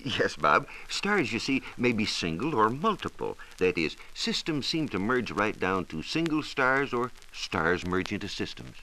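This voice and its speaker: An American man explaining science in a measured, precise, didactic way, in a scripted 1940s radio style. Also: on the low side, big pitch swings, sharp tone, poor audio. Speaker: science reporter explaining astronomy. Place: radio studio. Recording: hissy 1940s radio transcription disc.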